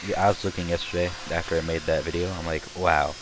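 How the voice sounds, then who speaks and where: A young man with a slightly raspy voice, chatting casually and rambling while commenting on his gameplay. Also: low-pitched, level tone, fast talker, noisy background. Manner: rambling, chatty, many restarts and fillers. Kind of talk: casual let's-play chat.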